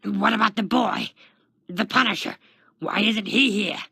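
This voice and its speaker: A man reading a story, voicing an arrogant, defensive imprisoned supervillain in a gravelly, strained, pompous voice. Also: high-pitched, a little slow, no room sound, studio quality, voice pushed up, full character voice. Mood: indignant shouting. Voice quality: gravelly, strained fake-tough voice. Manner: pompous, shouting, emphatic.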